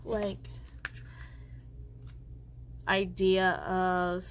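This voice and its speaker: Stuffy-nosed woman rambling slow about her planner, mid pitch voice, crafting at home. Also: flat delivery, slight background noise. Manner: rambling, chatty, trails off. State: congested. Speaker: planner vlogger. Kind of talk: casual chat while crafting.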